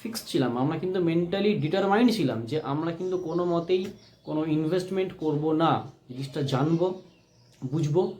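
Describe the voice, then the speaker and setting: Guy from india telling his own story, bit high voice, roomy echo, emphatic. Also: expressive, a little fast, darkish tone. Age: adult, married. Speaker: vlogger telling a personal experience. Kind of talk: unscripted storytelling to camera. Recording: echoey room.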